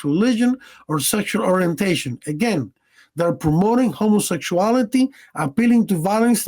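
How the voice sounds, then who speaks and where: A man's voice: high-pitched, a little mellow. A man from Cuba, giving a slide presentation as a director of education. He speaks earnestly, pressing hard on single words, and stumbles into fillers and restarts when reading out details.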